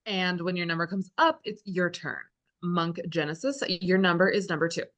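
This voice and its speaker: Woman playing a bossy, low-voiced game show host. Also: steady tone, moderate pace, fairly bright tone, lightly acted. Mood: businesslike. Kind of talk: comedy script table read.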